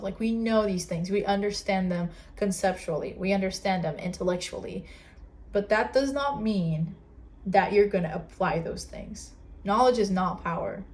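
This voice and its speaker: A woman's voice: medium pitch, darkish tone. A young woman with a Portuguese accent hosting a casual solo podcast, talking earnestly with many fillers and restarts. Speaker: podcast host.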